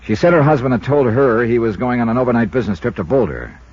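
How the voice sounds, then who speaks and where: Wry, muffled old-radio American detective. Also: male voice, mid-range voice, lively intonation, quick pace, darkish tone, slightly rough, no room sound. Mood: dry, matter-of-fact. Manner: wry, clipped, narrating like an expense report. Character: dry, tough male insurance investigator narrating his case. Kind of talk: 1950s radio detective drama acting. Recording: muffled vintage radio recording, music behind.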